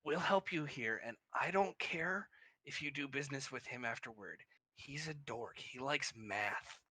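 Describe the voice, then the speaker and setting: A man voicing a sly male adventurer who leans in with a loud, conspiratorial whisper. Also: medium pitch, measured pace, husky, no room sound.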